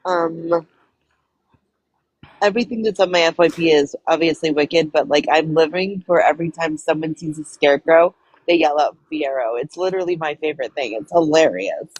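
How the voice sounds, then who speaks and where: Woman co-host, hoarse and husky, a bit low-pitched, relaxed and chatty. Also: normal speed, no echo, rough recording. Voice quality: raspy. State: hoarse from talking on the phone at work. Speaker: livestream co-host. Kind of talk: casual livestream chat.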